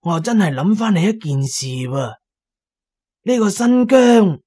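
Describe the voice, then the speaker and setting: An actor with a Cantonese accent playing a shrewd, talkative antique dealer in a radio drama, expounding knowingly and with emphasis. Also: male voice, high-pitched, big pitch swings, unhurried, warm tone, slightly rough, exaggerated voice. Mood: intrigued.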